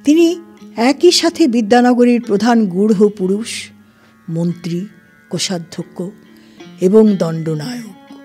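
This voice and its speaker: A woman narrating a scripted literary story in a deliberate, formal storytelling manner. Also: mid-range voice, slightly rough. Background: soft plucked-string music.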